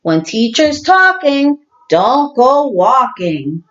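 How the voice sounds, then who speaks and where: A woman voicing an eager, excitable young boy shark in a storybook reading, bouncy and exclaiming. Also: high-pitched, takes it slowly, dull audio, heavily acted. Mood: excited.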